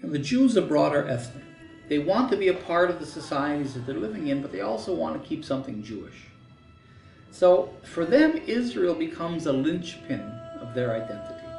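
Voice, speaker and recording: A man's voice: a little high, very animated, normal speed, vocal fry. A man giving a critical, emphatic documentary interview, stressing his points. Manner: critical, emphatic, rises sharply when mimicking others. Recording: roomy, distant mic with soft music.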